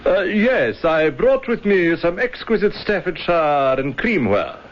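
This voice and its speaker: Suave salesman, swooping high-ish voice. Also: big pitch swings, unhurried. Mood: furtive, ingratiating. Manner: polished, ingratiating, formal. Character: suave, furtive salesman, secretly a spy. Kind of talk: old-time radio drama acting. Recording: dull vintage radio recording.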